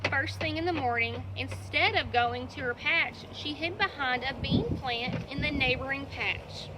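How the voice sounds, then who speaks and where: A woman narrates a children's puppet story in a high voice. She reads scripted storytelling lines, with clear noise in the background of the recording. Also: unhurried. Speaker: storyteller, library staff puppeteer.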